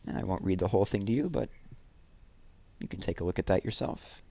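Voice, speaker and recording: A man's voice: deep voice, lively intonation, a little fast, dark tone. A man reading a children's book aloud as a storyteller.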